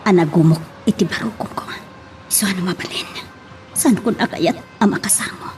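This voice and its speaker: A woman's voice: fairly low pitch, moderate pace, a little mellow, faint music behind, lightly acted. A woman playing a hurt, stubborn young woman wronged in love in an Ilocano drama. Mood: hurt, bitter. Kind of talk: Ilocano radio-style drama acting.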